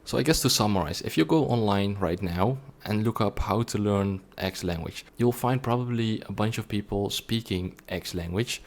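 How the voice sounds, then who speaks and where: A man with a fairly low voice presents an explanatory monologue on video. He speaks earnestly and didactically, addressing viewers directly. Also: moderate pace, few pauses. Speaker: language learning video presenter.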